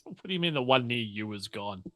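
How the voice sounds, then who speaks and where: A man's voice: mid-range voice, expressive, a little fast, pushed and bright, no room sound. An Australian man running a tabletop game casually, hesitantly piecing his narration together as game master.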